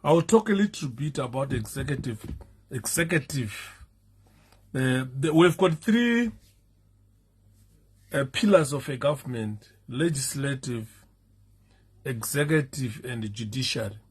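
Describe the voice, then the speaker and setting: A Zimbabwean man with a hoarse, fading voice, talking in a halting live-streamed talk with many fillers. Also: medium pitch, animated. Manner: halting, many fillers, switches into Shona and sings. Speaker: political commentator.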